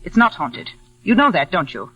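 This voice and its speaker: An actress playing a stern, lonely older widow in a 1940s radio drama, clipped and brooding in delivery. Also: female voice, lowish voice, sing-song, moderate pace. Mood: stern, guarded. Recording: muffled vintage radio recording.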